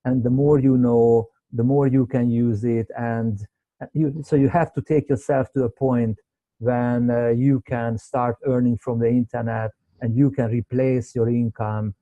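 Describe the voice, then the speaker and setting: Hungarian man with a dark, dry voice. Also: medium pitch, fairly even intonation, dry recording, muffled recording. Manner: halting, many repeated words and fillers. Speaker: webinar host, medical doctor. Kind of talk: unscripted webinar Q&A.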